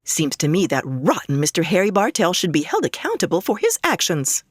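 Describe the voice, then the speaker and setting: An actress playing a prim, chatty pitchwoman on vintage radio, genteel and mock-indignant with a salesy turn. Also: wildly swooping pitch, brisk, husky, no room sound, clean studio sound, heavily acted. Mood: prim concern. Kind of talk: old-time radio acting.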